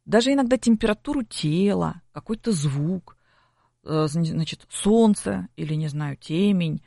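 A woman's voice: lowish voice, expressive, measured pace, creaky, warm tone, dry recording, clean studio sound. A middle-aged woman explaining things as an expert guest in an interview, in a didactic way with rhetorical questions. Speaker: psychology expert guest on a broadcast.

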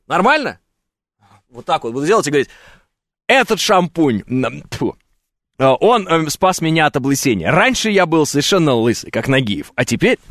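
A man's voice: on the high side, no room sound, studio quality. A man reading his live chat aloud and answering it, wry and joking, with many hesitant fillers. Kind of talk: casual live stream chat. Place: at home, on camera.

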